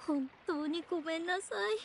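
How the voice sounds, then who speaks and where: A woman's voice: very high voice, moderate pace, gravelly, slight background noise. A woman voicing a polite, apologetic young female guide and cheerful mascot in an anime game, explaining things earnestly.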